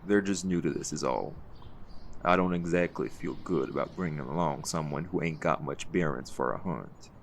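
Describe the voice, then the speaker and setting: A man playing a seasoned, folksy leader of a hunt in an audio roleplay, gravelly and reassuring, with a fond teasing streak. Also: very deep voice, normal speed, noisy background. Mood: warm, reflective.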